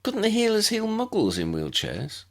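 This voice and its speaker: Man doing a high-pitched curious little girl. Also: wildly swooping pitch, moderate pace, vocal fry, heavily acted. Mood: questioning. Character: curious, polite young muggle girl, eager newcomer.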